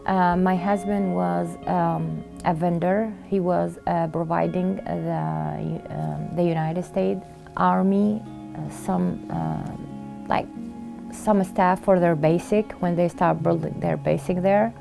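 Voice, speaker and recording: A middle-aged woman with an Iraqi Arabic accent telling her own story in an interview, halting, with many fillers and pauses. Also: on the low side, steady tone, vocal fry, over music. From Iraq. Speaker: refugee sharing her story. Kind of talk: interview testimony.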